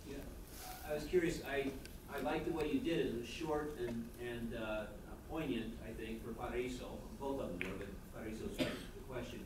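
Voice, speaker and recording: A man's voice: mid-range voice, normal speed. Rambling man in a very echoey hall. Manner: rambling, hesitant. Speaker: audience member. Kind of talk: asking a question. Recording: very echoey hall, distant mic, noise.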